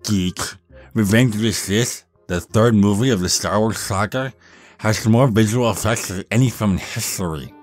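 A man's voice: lowish voice, normal speed, faint music behind, lightly acted. A man voicing a dorky young male vampire, pedantic, whiny and condescending, in a goofy roleplay monologue. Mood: smug, argumentative. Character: nerdy, dorky young male vampire, petulant Star Wars know-it-all.

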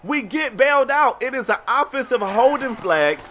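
High-pitched American man doing game commentary. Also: normal speed, noisy background. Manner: colloquial, slangy, animated storytelling. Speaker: sports video game streamer. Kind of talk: casual play-by-play gameplay commentary.

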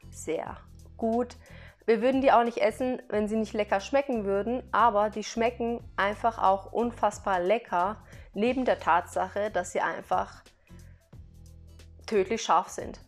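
A woman talking casually to her viewers in a product haul vlog, chatty, laughing now and then between remarks as she explains each item. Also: mid-range voice. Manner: explains items one by one to viewers. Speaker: vlogger.

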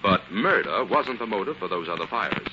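Low-voiced, lively scientist sleuth. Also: male voice, moderate pace. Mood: assured. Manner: assured, explanatory. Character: calm, analytical scientist crime-fighter. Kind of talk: 1940s radio drama acting. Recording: muffled, very noisy 1940s radio recording.

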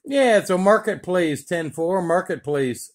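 A man with a slightly high voice chats casually over CB radio. He uses operator lingo in an easy, conversational way. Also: expressive, measured pace, slight background noise. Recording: CB radio chatter, radio sound.

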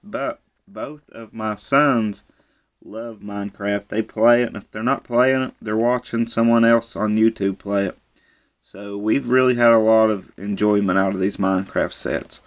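A man casually reviewing a toy set at home, relaxed and easygoing, often repeating how cool it is. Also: lowish voice, measured pace. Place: indoors at a table.

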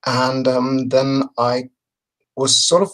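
A middle-aged man explaining and telling his story casually as a podcast guest, in halting, measured phrases from a home video call. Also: medium pitch, completely flat delivery, fairly bright tone. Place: home room with bookshelves. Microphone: video call, webcam distance.

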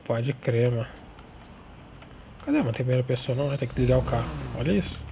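A man's voice: medium pitch, animated, fast talker, a crowd in the background. A man from Brazil streaming a roleplay game, chatting in laid-back slang and talking to his viewers. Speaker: gaming streamer. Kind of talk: roleplay gameplay chat.

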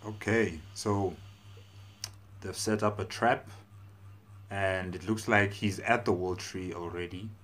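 A man in his thirties giving casual reaction commentary, thinking aloud in a halting, repetitive way. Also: low-pitched, steady tone, a bit bright. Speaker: reaction video host. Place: small plain room indoors. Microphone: lapel mic.

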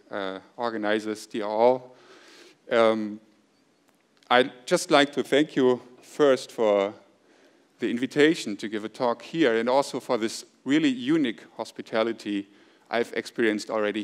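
A middle-aged man delivers a plenary math lecture at a podium microphone. His voice is loud but measured, with frequent small hesitations. The sound carries the openness of a large auditorium. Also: mid-range voice. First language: German. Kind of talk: academic lecture. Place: large dark auditorium stage.

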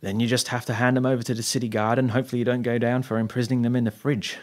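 A man playing an unflappable, long-suffering sidekick in an audio drama, with a dry, wry, measured manner. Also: mid-range voice, level tone, close to an everyday voice.

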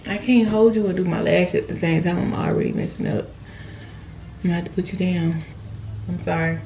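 A woman's voice: fairly low pitch, fairly even intonation, normal speed, vocal fry, mellow, highs cut off, soft background music. Chatty young woman vlogging, echoey room. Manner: chatty and candid, talks to viewers and her dog. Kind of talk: casual vlog chat. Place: apartment rooms and a parked car.

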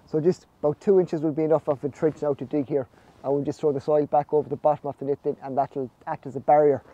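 A man's voice: fairly high pitch, fairly even intonation, fast, warm tone, lo-fi sound. A man in his forties with an Irish accent, casually explaining farm jobs outdoors in a vlog. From Ireland. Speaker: snail farmer presenting his farm vlog. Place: outdoors under netting on a farm.

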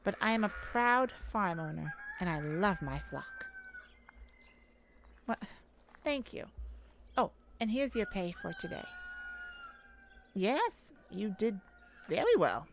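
Woman playing a shy, slow-talking elf farmer. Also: medium pitch, big pitch swings. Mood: relaxed, shy. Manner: halting, polite, nervous little laughs. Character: shy, gentle elf farmer, sweet and easily flustered. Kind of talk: ASMR roleplay. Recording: clear background noise, farm chicken ambience. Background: farm ambience with chickens and rooster.

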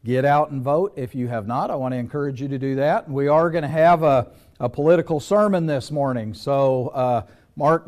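A man's voice: fairly high pitch, a little mellow. A middle-aged man preaching a sermon in a small church, moving about the stage and posing question after question to the congregation. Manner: conversational preaching, walks the stage with rhetorical questions.

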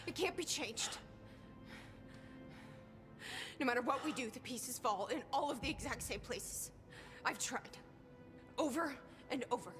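A woman's voice: high voice, expressive, normal speed, big-room sound, music in the background. A woman playing a weary, guarded resistance fighter in a video game, grave and restrained. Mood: pained, resigned. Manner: grave, restrained, weighted delivery. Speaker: video game character actor. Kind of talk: cinematic video game dramatic acting.

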